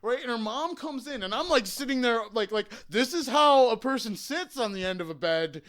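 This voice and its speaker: Man, young adult, high voice, comedy podcast host, rambling, self-deprecating. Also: lively intonation, normal speed, fairly bright tone. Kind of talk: casual storytelling.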